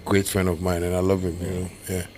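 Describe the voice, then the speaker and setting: A Ghanaian-accented man with a husky, fairly low voice. He rambles through his story as a musician guest in a casual interview and slips in and out of Twi and Pidgin. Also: monotone, fast talker, darkish tone. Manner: code-switches into Twi and Pidgin; rambling, filler-heavy storytelling.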